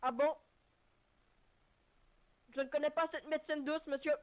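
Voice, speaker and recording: A woman's voice: high voice, steady tone, normal speed, natural voice. A woman playing a deluded, earnest medic in a game roleplay, speaking in formal declarations. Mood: earnest, mock-formal. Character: deluded, earnest medic crusading against diabetes in vehicles. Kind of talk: improvised online game roleplay.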